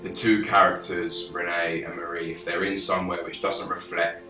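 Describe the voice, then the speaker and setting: A young man from England in his twenties, pitching his film to camera with eager gestures and frequent restarts. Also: flat delivery, moderate pace, distant mic. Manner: enthusiastic, gestures with his hands, many restarts. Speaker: film director pitching a crowdfunding campaign. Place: small office, indoors. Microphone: camera a few feet away. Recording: roomy office sound with background music.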